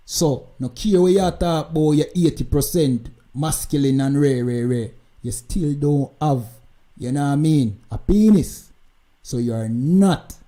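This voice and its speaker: A man's voice: medium pitch, warm tone. A Jamaican man hosting a live stream, talking emphatically and repeating "you know what I mean" as he comments.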